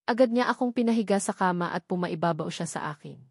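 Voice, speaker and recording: A woman's voice: on the low side, fast, slightly rough, dry recording, clean studio sound. A woman reading a scripted romance novel aloud as a first-person narrator. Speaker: audiobook narrator.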